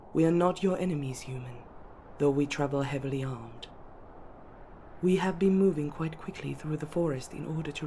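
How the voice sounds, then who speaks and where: A dark, mellow-voiced elf warrior played by a woman, eldest of her sisters. She speaks formally with composed authority in a fantasy audio drama, over faint ambient noise. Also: sits low, lightly acted. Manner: measured, formal. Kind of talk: fantasy audio roleplay acting.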